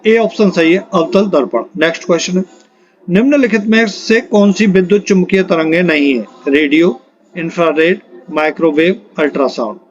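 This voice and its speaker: A man with a high-pitched voice works as an exam-prep teacher on a dull, lo-fi recording. He reads out multiple-choice questions and their options in an instructional manner, then states which one is correct. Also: moderate pace. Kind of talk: quiz lesson, reading questions and options aloud.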